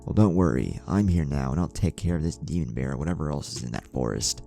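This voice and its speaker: A man's voice: very deep voice, animated, fast talker, vocal fry, mellow, faint music behind, exaggerated voice. A man voicing a cocky, good-hearted young ninja in a storybook reading, talking informally. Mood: confident.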